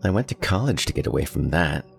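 A man's voice: rumbling low, animated, fast talker, gravelly, exaggerated voice. A man voicing a young college-age daughter who answers patiently and a bit pointedly. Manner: patient, lightly sardonic.

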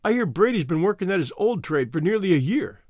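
A man voicing a calm, fair-dealing police detective in a storybook, measured and plain-spoken. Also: high voice, no echo, clean studio sound, pitched above the performer's own voice. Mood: calm, firm.

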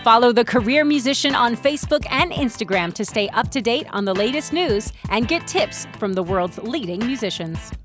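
A woman's voice: a little high, lively intonation, moderate pace, hardly any pauses. A woman reading a short scripted promo as an announcer. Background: music.

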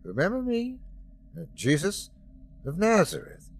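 A man's voice: mid-range voice, sing-song, unhurried, voice pushed up. A man voicing an impassioned grown son who defies his divine father, speaking in a raised, intense voice well above his own. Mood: gentle.

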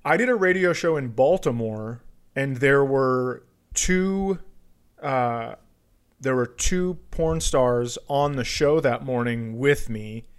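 Teasing, deadpan man in casual comedy chat. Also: mid-range voice, slow pace, smooth. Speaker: podcast host, comedian.

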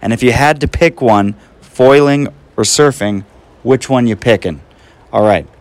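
Casual male questioner, average pitch, dry close recording, informal delivery. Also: measured pace, no room sound.

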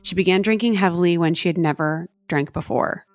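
A woman co-hosting a true crime podcast, telling the case in a steady, earnest way. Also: fairly low pitch, a little fast. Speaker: podcast co-host.

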